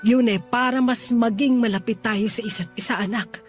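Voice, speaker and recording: A woman's voice: fairly high pitch, moderate pace, lightly acted. A woman playing a loving, protective middle-aged mother in a sentimental audio drama, earnest and consoling. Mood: regretful, tender. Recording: music behind the voice.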